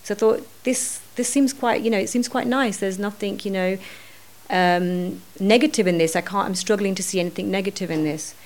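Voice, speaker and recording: Middle-aged woman chatting on a podcast, sincere, lots of "you know" pauses. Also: medium pitch, a little mellow. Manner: earnest, thinking aloud with many "you know"s. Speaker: podcast guest. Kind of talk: reflective podcast conversation.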